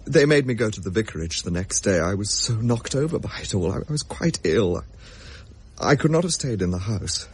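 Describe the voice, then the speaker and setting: An actor playing a shaken young gentleman recounting a ghostly encounter in a grave, halting, old-fashioned way. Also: fairly low pitch, lively intonation, husky. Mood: shaken, doomed. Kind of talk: dramatic period radio drama narration.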